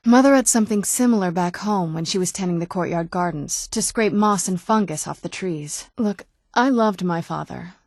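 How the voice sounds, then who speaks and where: A woman's voice: medium pitch, darkish tone, dry recording. A woman voicing a young-looking vampire noblewoman companion in a video game, wry and guarded, with a dry conversational delivery. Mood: wistful, bitter. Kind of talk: video game character dialogue.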